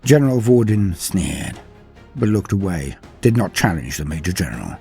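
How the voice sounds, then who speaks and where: Deep, dark-voiced male story narrator. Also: sing-song, normal speed, gravelly, soft background music. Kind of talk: dramatic scripted narration.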